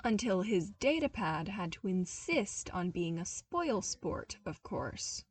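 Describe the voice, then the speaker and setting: A woman's voice: mid-range voice, sing-song, takes it slowly. Woman narrating a story, slightly distant mic. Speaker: podfic narrator reading fan fiction. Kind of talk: expressive scripted story narration.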